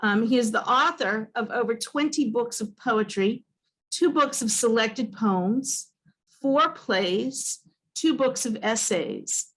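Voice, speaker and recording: A woman's voice: on the high side, takes it slowly, poor audio. A woman hosting a reading series over a video call, introducing poets with frequent hesitant fillers. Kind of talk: introducing speakers.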